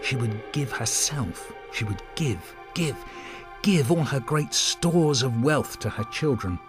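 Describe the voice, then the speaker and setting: Guy reading a novel out loud, super expressive up and down, music behind him. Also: medium pitch, slow. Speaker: reader of a novel extract. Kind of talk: expressive literary reading aloud.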